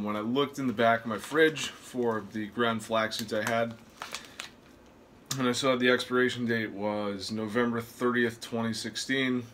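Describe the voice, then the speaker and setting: A man in his twenties talking casually to camera in a vlog, relaxed and a bit self-deprecating, first from a parked car, then in a small kitchen. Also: mid-range voice, a little hiss or hum. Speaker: vlogger.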